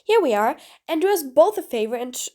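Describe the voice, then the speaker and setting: A cool-headed female supervillain, as a woman plays her, blunt and sardonic, giving orders in clipped lines. Her voice sits high and swings widely in pitch. An audio roleplay with a commanding edge. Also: very animated, a little fast, dark tone. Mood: exasperated. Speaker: voice actor. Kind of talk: audio roleplay, one side of a dialogue.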